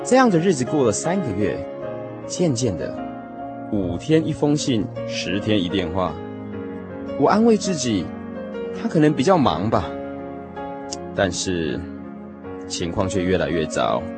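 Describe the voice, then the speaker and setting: An actor playing a lovesick young man, telling his lost first love in an expressive, emotional radio drama style. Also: lowish voice, measured pace, pauses often. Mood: wistful longing. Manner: expressive, sighing, emotional storytelling. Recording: music playing behind the voice. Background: music.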